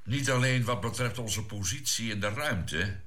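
An astronaut with a deep yet bright, forceful voice , acted by a man. He is an earnest crew member in a radio play, laying out explanations with a clear storyteller's purpose. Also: normal speed. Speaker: actor in a science fiction audio drama.

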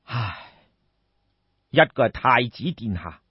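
A man voicing a seasoned, loyal army general in Cantonese, sighing and speaking in a deliberate, measured way. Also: medium pitch, very animated, husky, no echo, lo-fi sound, voice pushed down, heavily acted. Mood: weary, troubled. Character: seasoned male army general, grave, weary, firm and loyal.

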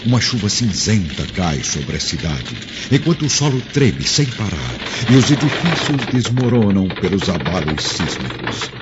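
Narrador brasileiro, voz grave e enfática, estilo radionovela, gravação lo-fi com música. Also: male voice, low-pitched, sing-song, unhurried, few pauses, very noisy. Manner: dramatic, emphatic. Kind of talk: dramatic radio drama narration. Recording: dull lo-fi sound with music. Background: music.

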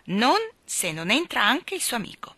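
A woman acts out a composed nanny from a children's story. She speaks in a bright, raised voice, stating things firmly and without bending. The sound is dry and close. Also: on the high side, very animated, moderate pace, slightly rough, no echo, pitched above the performer's own voice, heavily acted. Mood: firm, matter-of-fact. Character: composed, firm nanny translating a dog's demands. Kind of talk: children's storybook character acting.

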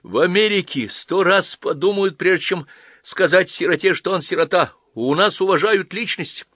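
A man's voice: high-pitched, moderate pace, sharp tone, dry recording, studio quality, pitched above the performer's own voice. A man voicing a proud, touchy young American man who is blustering and pompous, in an audiobook story. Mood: angry.

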